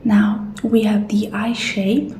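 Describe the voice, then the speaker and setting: A woman doing a soft-spoken ASMR roleplay as an assistant, often dropping into a whisper, with gentle, reassuring guidance. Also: mid-range voice, flat delivery, slow. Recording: roomy echo, faint background noise.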